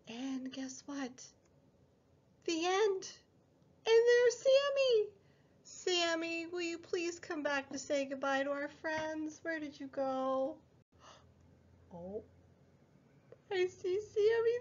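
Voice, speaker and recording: A young woman hosting a preschool story time, reading a picture book in a sing-song, exaggerated way with drawn-out words. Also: sits high, muffled recording. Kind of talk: picture book read-aloud for small children.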